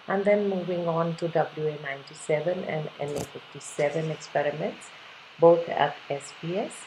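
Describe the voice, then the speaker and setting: A woman from India, a physics professor, calmly recounting her long career in a self-introduction to a webcam at home. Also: low voice, a little hiss or hum, low-quality recording. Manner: measured, listing career history. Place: home room.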